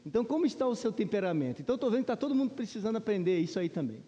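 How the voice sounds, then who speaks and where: A man's voice: high voice, sing-song, racing, warm tone, highs cut off. A man with a Brazilian accent giving an informal, conversational Bible lesson to a congregation, often turning to them with questions and rhetorical tags. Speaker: Sunday school teacher at a church. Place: large church room, speaking to a congregation. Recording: reverberant hall with long echo.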